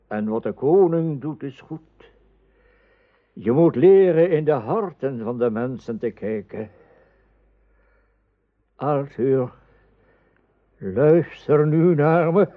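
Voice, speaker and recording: An actor playing a weary, three-hundred-year-old wizard and mentor in a radio play, solemn and wistful. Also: male voice, on the high side, lively intonation, slow, long pauses. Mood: solemn warning. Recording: narrow phone-like radio play sound.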